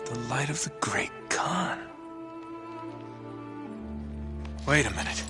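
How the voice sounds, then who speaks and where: An actor with an American accent playing an easygoing, wisecracking adventurer hero in a video game, casual and wry. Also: male voice, medium pitch, animated, normal speed, over music, close to an everyday voice. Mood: intent. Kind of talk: cinematic game dialogue.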